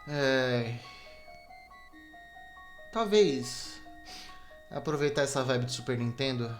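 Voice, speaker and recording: A man's voice: mid-range voice, expressive, slow pace. A young adult man with a Brazilian Portuguese accent rambles casually as a solo podcast host, slangy and self-deprecating. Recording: video game music behind the voice. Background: Super Nintendo game music.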